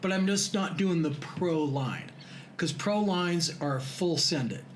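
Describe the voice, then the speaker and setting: A man's voice: a little high, slow. A man in his sixties, a guest telling self-deprecating stories in a casual chat. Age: 60s. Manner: self-deprecating, laughing storyteller. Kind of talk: casual storytelling. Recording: noisy room ambience.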